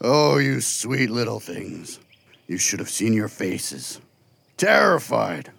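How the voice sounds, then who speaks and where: Gravelly, teasing giant furry beast. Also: male voice, big pitch swings, fairly bright tone, no room sound, full character voice. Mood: amused, teasing. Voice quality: gravelly, husky. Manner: unhurried, booming, playfully teasing. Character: huge furry beast, teasing, jovial council caretaker.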